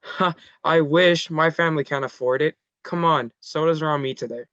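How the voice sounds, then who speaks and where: A man's voice: on the high side, moderate pace, a bit bright, no echo, muffled recording, natural voice. A man playing a good-natured teenage boy in a screenplay table read. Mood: easygoing. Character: Gerald, good-natured skinny teenage boy, slow learner.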